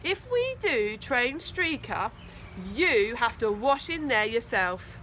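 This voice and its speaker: Woman as a feisty, bright-voiced young girl. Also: a little high, sing-song, slow, a little hiss or hum, exaggerated voice. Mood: bold challenge. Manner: snappy, defiant. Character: feisty, reckless young girl, snappy and confident.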